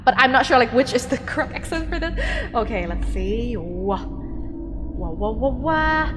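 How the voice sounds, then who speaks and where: A woman with a Thai accent chatting casually as a streamer, reading viewer messages and thinking out loud with lots of "like" fillers. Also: a little high, expressive, measured pace, long reverb tail, faint music behind. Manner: chatty, filler-heavy, thinks aloud with frequent "like".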